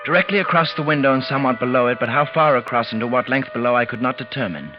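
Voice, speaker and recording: A male actor plays a tense gentleman narrating a night of terror in a 1940s radio drama. He speaks in deliberate, broken phrases with theatrical dread. The sound is dull and aged, with suspense music underneath. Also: medium pitch, animated, close to an everyday voice. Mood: brooding. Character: tense man recounting a night of mounting terror. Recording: muffled vintage 1940s radio recording, music behind.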